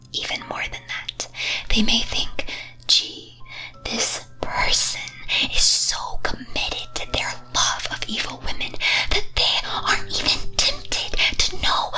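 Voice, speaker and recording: A woman playing a teasing, wicked demon mistress in a breathy, theatrical ASMR whisper, full of dramatic rhetorical questions. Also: a little high, sharp tone, exaggerated voice. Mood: tempting, brooding. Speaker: streamer performing as a demon-mistress persona.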